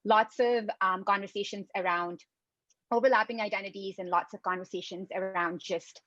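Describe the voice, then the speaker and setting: A woman's voice: mid-range voice, fairly even intonation, normal speed, a bit bright, no room sound, dull audio. A young woman from Pakistan, a student club co-president, speaking thoughtfully and articulately on an online panel. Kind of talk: conversational panel talk.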